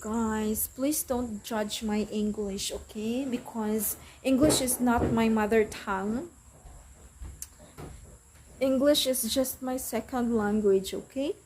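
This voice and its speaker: A woman's voice: a little high, steady tone, takes it slowly, darkish tone, slight background noise, rough recording. A Filipino woman whose first language is Tagalog, giving call center advice in a casual vlog and repeating phrases for emphasis. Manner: repeats phrases for emphasis, says "okay" and "guys" often. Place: unfinished house with rain on the roof.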